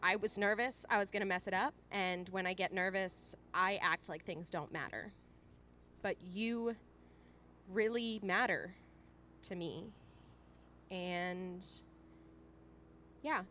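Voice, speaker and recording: A jokey, awkward young woman in a radio drama. Her bright voice sits a little high and moves at an easy pace, halting and playful, with faint noise behind it. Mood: nervous, earnest. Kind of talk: naturalistic audio drama acting.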